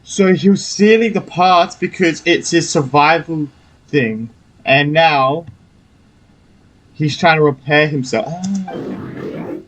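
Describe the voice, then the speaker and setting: A woman's voice: sits low, takes it slowly, long pauses, low-quality recording. A woman giving casual gameplay commentary, musing aloud in short, hesitant remarks. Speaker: gamer commenting on a playthrough.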